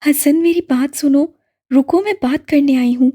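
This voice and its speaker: A woman's voice: high voice, steady tone, mellow, natural voice. A woman voicing a young woman pleading with her brother, then crying out and cursing him, in an audiobook. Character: young woman, distraught pleading elder sister. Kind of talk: audiobook character voice.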